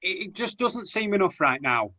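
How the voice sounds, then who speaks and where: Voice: medium pitch, big pitch swings, normal speed, bright, forceful tone, lo-fi sound. A performer playing a poor, lovesick and timid lad, the hero of a rhyming pantomime, speaking earnest, plaintive verse. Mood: nervous.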